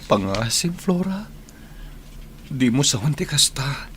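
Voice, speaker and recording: Tender, distressed Ilocano husband. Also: male voice, medium pitch, very animated, slow pace, fairly bright tone, slight background noise. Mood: pleading. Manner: tender, pleading. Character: devoted, distressed husband of an ailing wife. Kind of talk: Ilocano radio drama acting.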